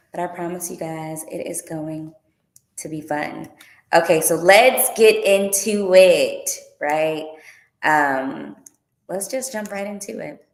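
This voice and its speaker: A low-pitched woman talks to her viewers in a chatty, upbeat way, full of fillers. Her voice rings in an echoey room, picked up by a livestream mic. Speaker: tour organizer and boutique owner. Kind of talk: casual livestream Q and A.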